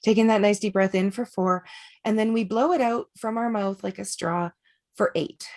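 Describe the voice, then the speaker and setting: A woman coaches a group through slow breathing and a meditation in a loose, conversational way. Her mid-pitched voice carries a gravelly edge, and she drifts into fillers and restarts as she talks. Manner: relaxed, chatty, with frequent fillers and restarts. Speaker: energy healer leading a group session. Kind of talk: guided breathing and meditation instruction.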